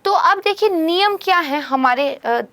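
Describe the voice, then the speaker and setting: Young woman tutor, high voice, a bit hoarse, patient explainer. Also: expressive, a little slow, a bit bright. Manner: explanatory, peppers speech with English terms and "theek hai". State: hoarse from a sore throat. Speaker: current affairs teacher. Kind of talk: exam-prep lecture.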